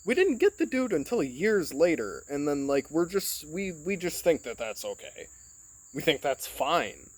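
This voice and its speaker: A man's voice: a little high, very animated, a little slow, no echo. A man from Michigan in a casual, rambling monologue, recorded on his phone, swearing often and filling his talk with "like" and "you know". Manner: rambling, profane, heavy filler words. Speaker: podcast host.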